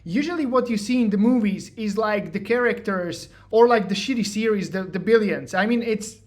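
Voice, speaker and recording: High-voiced Slovak man, joking. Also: normal speed. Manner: joking, self-mocking, filler-heavy. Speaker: trading educator. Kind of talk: casual screen-share walkthrough.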